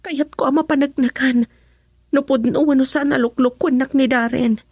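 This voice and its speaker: Determined young Filipino woman for radio drama: high, husky voice, anxious, close and dry. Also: fairly even intonation, moderate pace, a little mellow, no echo. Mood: anxious, insistent. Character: determined, anxious young woman doubting her boyfriend's faithfulness. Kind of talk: Ilocano radio drama acting.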